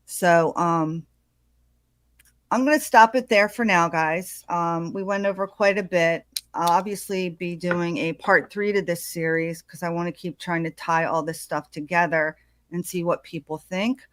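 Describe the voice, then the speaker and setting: A woman talks through a true crime case in a casual, halting way, filling her pauses with ums and uhs. Her voice sits on the low side. The sound is dry, with no room echo. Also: steady tone, a bit bright. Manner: hesitant, full of ums and uhs. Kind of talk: casual video commentary.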